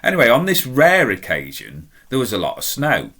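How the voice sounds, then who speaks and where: A middle-aged man with a deep, strong voice, telling a personal story in a chatty, self-deprecating, emphatic way. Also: huge pitch swings, normal speed, bright, forceful tone. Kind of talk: casual anecdotal storytelling.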